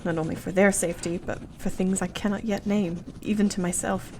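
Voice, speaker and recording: A woman's voice: mid-range voice, fairly even intonation, a little fast, darkish tone, slight background noise, natural voice. A woman acting an earnest, anxious young Victorian wife in a radio play, devoted and thoughtful, with formal, precise period phrasing. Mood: anxious, regretful.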